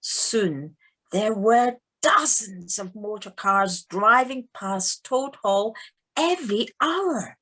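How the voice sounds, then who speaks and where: A woman's voice: mid-range voice, animated, slow, bright, forceful tone, husky. A woman narrating an audio play as a storyteller, theatrical, with emphasis on key words. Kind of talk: scripted dramatic storytelling. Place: living room, recorded over video call.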